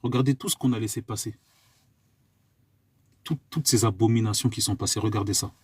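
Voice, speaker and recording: A man's voice: on the low side, a little fast, darkish tone, slightly rough, no echo. A man from France preaching to his listeners, repeating pointed questions and coughing at times. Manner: exhorting, repeats rhetorical questions. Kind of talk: live online sermon.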